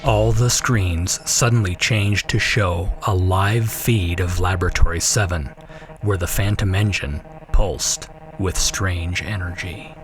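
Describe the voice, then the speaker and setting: A man narrates a dramatic sci-fi suspense story. His voice is fairly low and very measured in pace, with music clearly playing behind him. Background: prominent dramatic music.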